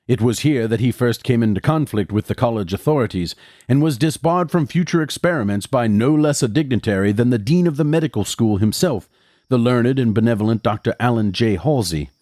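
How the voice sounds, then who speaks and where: Actor as articulate young medical student, dry recording. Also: male voice, mid-range voice, a little mellow, dry recording, natural voice. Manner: formal, measured, articulate. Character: educated young male medical student, wary loyal accomplice. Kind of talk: audio drama acting and first-person narration.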